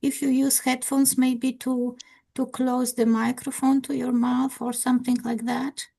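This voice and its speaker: Friendly woman teacher, slightly high voice, hosting an online class over a video call. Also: level tone, a little slow, vocal fry, mellow. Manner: friendly, encouraging, with hesitant fillers.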